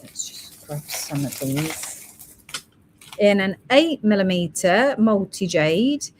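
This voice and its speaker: A woman's voice: medium pitch, very animated, takes it slowly, slight background noise. A woman hosting a live stream selling gemstone beads, chatting casually and reading out product codes and prices. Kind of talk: casual live sales chat.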